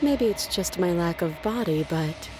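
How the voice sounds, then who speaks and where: A woman voicing an eerily calm, unsettling young princess in a horror game, composed and gently knowing. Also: on the low side, measured pace, background noise. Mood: gently pleased. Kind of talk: video game voice acting.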